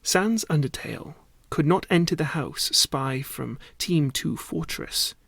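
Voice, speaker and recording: Slow, theatrical male storyteller, dry close sound. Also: on the high side, vocal fry, fairly bright tone, no room sound. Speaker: voice actor reading a story. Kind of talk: dramatic narrated story reading.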